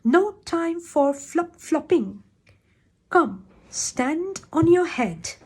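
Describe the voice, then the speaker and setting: A woman's voice: high voice, very slow, pitched above the performer's own voice, exaggerated voice. Woman doing a bouncy little puddle creature. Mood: gleeful. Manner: bouncy, rhyming, excitable. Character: mischievous playful male puddle creature with tail and paws.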